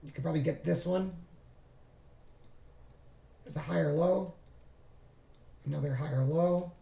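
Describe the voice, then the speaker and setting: A man walking through his charts on a casual screen-share, thinking aloud and often stopping to correct himself. His voice is gravelly and fading, and he sits a little high with a mellow, darkish tone. The room adds a clear echo. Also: a little slow. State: losing his voice. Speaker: trading streamer.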